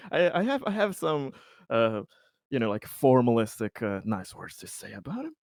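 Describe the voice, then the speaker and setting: A man's voice: mid-range voice, big pitch swings, normal speed, vocal fry, no echo, studio quality. A man playing a tabletop game who talks playfully and laughs while he speaks. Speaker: player. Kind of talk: casual chat in a tabletop game.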